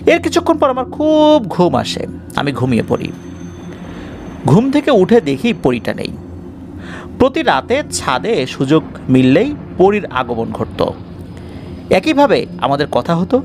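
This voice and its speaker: Guy telling a scary first person story, fairly high voice, goes up and down a lot, noise behind him. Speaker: horror story narrator. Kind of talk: dramatic first-person scripted ghost story narration.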